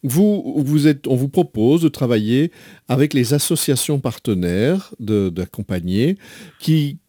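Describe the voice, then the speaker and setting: A man hosting a radio interview, asking questions in halting phrases with repeated words. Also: mid-range voice, expressive, warm tone, no echo, studio quality.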